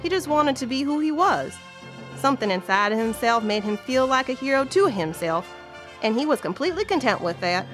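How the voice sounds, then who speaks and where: Actress as folksy woman storyteller, highish voice, wry western narration, music underneath. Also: big pitch swings, a little fast, a bit bright. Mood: wry storytelling. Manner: folksy, colloquial. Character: folksy, wry female Old West storyteller. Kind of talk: scripted western audio drama narration.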